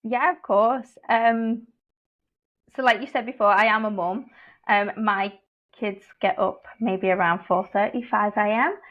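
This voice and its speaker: A woman's voice: mid-range voice, steady tone, unhurried, highs cut off. A woman, an early years teacher, chatting as a podcast guest and laughing often. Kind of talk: casual podcast chat.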